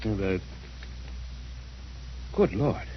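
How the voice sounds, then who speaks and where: An expressive well-off middle-aged husband. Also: male voice, lowish voice, very slow, exaggerated voice. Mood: stunned, dejected. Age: 40s. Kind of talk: old-time radio drama acting. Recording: muffled, hissy old radio recording.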